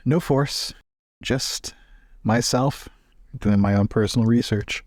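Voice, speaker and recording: A man's voice: medium pitch, slow, a little mellow, no room sound, clean studio sound, voice pushed up. A man playing a polite, understated traveling university professor in a tabletop game. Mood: polite, reserved.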